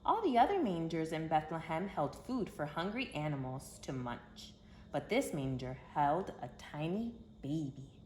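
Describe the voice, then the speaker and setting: A woman with a fairly low voice reads a story to young children. Her delivery is expressive and emphatic, with simple phrasing. The recording is echoey, with a faint to clear layer of noise behind her. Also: measured pace. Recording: roomy echo with background hiss.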